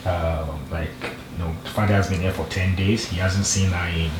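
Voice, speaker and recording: A middle-aged man with a Nigerian accent, sharing slowly and haltingly with many restarts in a group talk. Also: low voice, fairly even intonation. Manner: slow, halting, with repeated restarts. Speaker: group member, father. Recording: roomy, distant mic, faint noise.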